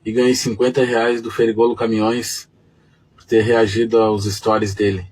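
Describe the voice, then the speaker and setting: A man's voice: on the low side, little pitch movement, normal speed, darkish tone, husky, poor audio. A man from Rio Grande do Sul giving a friendly informal winner's greeting. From Rio Grande do Sul, Brazil. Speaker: raffle winner.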